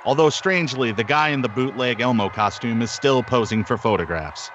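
A man's voice: medium pitch, brisk, lightly acted. A man playing a put-upon radio reporter giving a live report from the street in a comedy drama. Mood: urgent, dry. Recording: dull sound, noisy city street behind.

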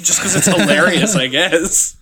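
A man in his late twenties speaks with a bright, forceful tone that sits a bit high. He drops wry, playful asides into the chat. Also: a little slow, husky. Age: late 20s. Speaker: podcast co-host. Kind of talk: casual chat.

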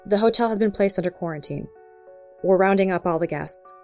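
Woman acting a tough fbi agent lady, bossy and brisk, medium pitch, radio drama w faint music. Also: a little mellow, lightly acted. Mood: calm, authoritative. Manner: authoritative, no-nonsense, terse.

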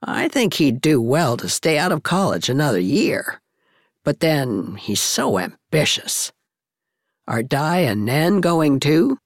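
Woman putting on a low, deliberate old village gossip. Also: sing-song, no echo, clean studio sound, full character voice. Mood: concerned, emphatic. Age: older. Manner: emphatic, deliberate, forthright. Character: blunt, opinionated older woman, village gossip and matchmaker.

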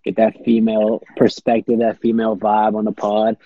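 A man's voice: lowish voice, monotone, moderate pace, crowd noise. A man from New York hosting a podcast, introducing the show and the guest in a laid-back, upbeat way. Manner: upbeat, laid-back, hype-style opener. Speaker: podcast host. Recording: dull lo-fi sound with faint noise.

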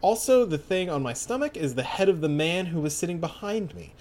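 A man's voice: on the high side, animated, fairly quick, a little mellow, a little hiss or hum, voice pushed up, close to an everyday voice. A man voicing a dead female flight attendant, eerily polite, with formal announcement delivery. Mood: polite, eerie.

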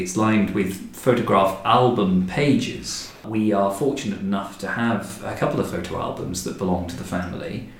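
A man in a conversational podcast discussion, talking in a reflective, explanatory way. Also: lowish voice. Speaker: podcast guest or host discussing a production. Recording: roomy, echoey sound.

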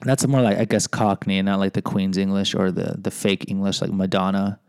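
A man's voice: deep voice, little pitch movement, brisk, creaky, a little mellow, smooth, no echo, clean studio sound. A middle-aged American man hosting a podcast, reading a personal memoir with rambling, self-deprecating asides. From United States. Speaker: podcast host and teacher.

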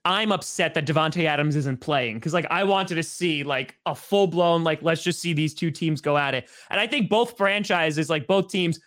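A young man with a high voice hosts a sports podcast, chatting loosely and excitedly with plenty of "like" and "uh." He sounds casual and amped. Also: moderate pace, hardly any pauses. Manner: excited, loose, lots of fillers. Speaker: podcast host.

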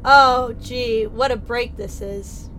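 Young hitchhiker gal played by a woman: high voice, chatty, slangy, down-to-earth, radio drama with faint car noise. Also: fairly even intonation, takes it slowly, a bit bright, poor audio. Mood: breezy. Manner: casual, slangy, forthright.

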